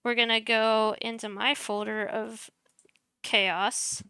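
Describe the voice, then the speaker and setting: A young woman streamer, soft-spoken and chatty, walking viewers through her streaming setup on a headset mic while muttering as she clicks around. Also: on the high side, flat delivery, slow pace, smooth, dry recording, studio quality. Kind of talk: casual screen-share tutorial.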